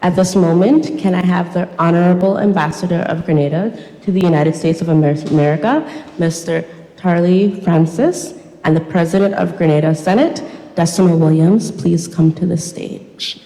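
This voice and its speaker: A woman's voice: fairly low pitch, normal speed, warm tone. A young adult woman presenting an event with informal announcements from the stage. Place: university recital hall. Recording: reverberant recital hall.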